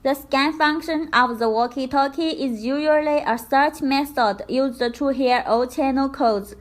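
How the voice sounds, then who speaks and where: Woman, high pitch, slow pace, scripted instructional narration, careful step-by-step delivery. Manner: careful, deliberate step-by-step explaining. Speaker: product tutorial presenter.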